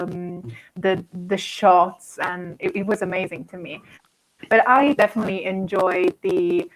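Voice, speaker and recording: A woman's voice: medium pitch, poor audio. A woman in her twenties, enthusiastic but hesitant, with many "um"s. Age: 20s. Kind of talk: casual video chat.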